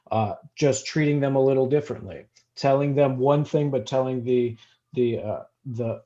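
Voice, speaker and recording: Man, mellow dark tone, halting explanatory delivery, panel commentary, dull lo-fi video call. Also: mid-range voice. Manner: halting, explanatory, many hesitations. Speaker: panelist, housing discrimination professional.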